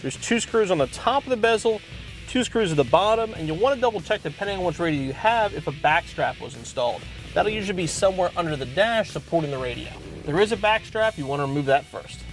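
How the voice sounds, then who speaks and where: Higher-pitched man giving a tutorial. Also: expressive, fast talker, soft background music. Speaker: host of car parts installation tutorial. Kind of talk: instructional product demonstration.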